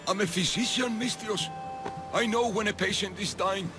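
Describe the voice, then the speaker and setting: A man's voice: sits high, normal speed, music in the background, close to an everyday voice. An actor playing a dying, grief-stricken male physician in a video game, with a weak, pained delivery. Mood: resigned. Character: dying male physician, grieving his slain pets, pleading. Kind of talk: dramatic video game acting.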